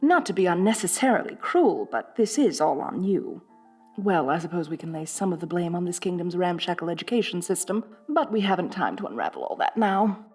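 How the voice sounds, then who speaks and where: A woman acts out a wealthy lamia noblewoman in an ASMR roleplay, bossy and domineering. Her voice is breathy and swings widely in pitch, with an affected, condescending elegance. Soft music plays faintly behind her. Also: animated, a little fast. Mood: domineering, smug. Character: haughty, rich, bossy female lamia noble, snake-woman mistress. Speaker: wealthy mistress addressing a new servant. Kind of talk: roleplay audio drama, ASMR.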